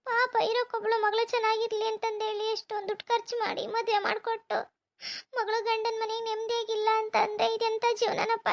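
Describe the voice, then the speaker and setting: A woman's voice: very high voice, monotone, fairly quick. A woman voicing a worried middle-aged mother who nags and pleads with her grown son, in a home-made cartoon. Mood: tearful lament. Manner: nagging, pleading, lamenting.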